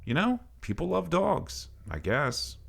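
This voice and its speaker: A man narrating a creepy first-person tale like a salty, colloquial barroom yarn, dramatic and gravelly, with swearing. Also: on the high side, wildly swooping pitch, slow, fairly bright tone. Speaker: horror story narrator. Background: faint ambient background music.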